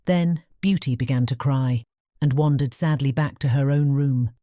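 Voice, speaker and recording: Low, mellow woman storyteller. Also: moderate pace, no echo, studio quality. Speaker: narrator. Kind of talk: scripted fairy-tale audiobook narration.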